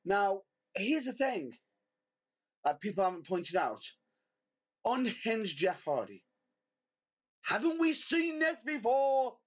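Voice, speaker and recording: Excitable high-voiced British man. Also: slow pace, long pauses. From Britain. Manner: theatrical, exasperated outbursts. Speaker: podcast co-host. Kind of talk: casual wrestling chat. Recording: dull lo-fi audio.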